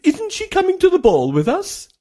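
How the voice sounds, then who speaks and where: A narrator voicing a mild, kindly, regretful father in a children's fairy tale, soft and wistful. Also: very high-pitched, huge pitch swings, moderate pace, voice pushed up, full character voice. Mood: puzzled.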